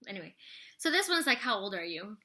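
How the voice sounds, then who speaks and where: Woman in her thirties, bright voice, casual rambling chat, lots of fillers. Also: medium pitch, big pitch swings, quick pace. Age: 30s. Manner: rambling, full of "like" and "you know". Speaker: vlogger answering viewer questions.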